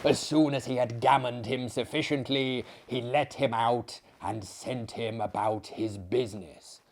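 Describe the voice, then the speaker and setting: A man voicing a sly, boastful older gentleman in a dramatic literary story, with a theatrical, relishing, deliberate delivery. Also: mid-range voice, steady tone. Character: sly, boastful older Frenchman running an asylum.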